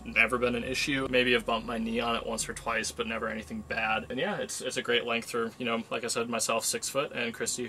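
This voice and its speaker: A man's voice: medium pitch, pushed and bright. A young man with a North American accent giving a casual tour to camera as the owner of a van. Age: young adult. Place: in and around a camper van in the woods.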